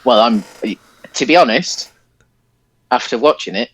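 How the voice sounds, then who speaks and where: A man's voice: mid-range voice, expressive, a little slow, fairly bright tone, breathy edge, poor audio. A British man co-hosting a podcast, chatting casually about a film in a hesitant, rambling way. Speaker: podcast co-host.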